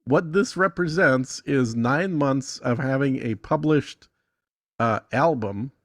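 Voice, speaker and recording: A man with a creaky, gravelly voice, recorded dry and close. He talks casually as a podcast guest walking through a tutorial, in a blunt, matter-of-fact way. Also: mid-range voice, slow pace, fairly bright tone, no room sound. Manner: blunt, corrects the other speaker. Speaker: podcast guest explaining music monetization. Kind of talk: casual screen-share tutorial chat.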